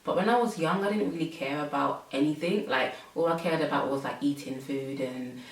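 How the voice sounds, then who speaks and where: Young woman host, low voice, chatty with fillers, roomy room sound from off mic. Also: fairly quick, recorded from a distance. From England. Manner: chatty, many fillers, laughs often. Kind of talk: casual chat.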